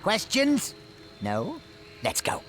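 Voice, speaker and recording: A cranky old gnome inventor for a fantasy video game. He barks scornfully and impatiently, his pitch leaping wildly up and down. Music plays clearly behind him. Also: huge pitch swings, slow pace, fairly bright tone, exaggerated voice. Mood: bossy, demanding. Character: cranky, arrogant old male gnome-like inventor, imperious and callous.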